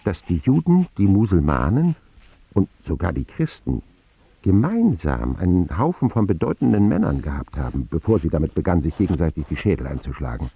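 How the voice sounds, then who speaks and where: A man looking back on his boyhood, low gravelly voice, radio drama narration. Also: very animated, a little fast, warm tone, dull audio, heavily acted. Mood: reflective. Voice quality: deep, gravelly. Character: grown Momo, reflective man recalling his lonely boyhood. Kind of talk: scripted first-person radio drama narration.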